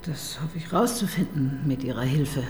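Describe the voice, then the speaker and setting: A wry middle-aged detective. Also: female voice, extremely low pitch, darkish tone, slight background noise. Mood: dry, skeptical. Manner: ironic, needling, quick to tease. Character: wry, probing married woman police detective. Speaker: detective chief inspector. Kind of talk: radio crime drama acting.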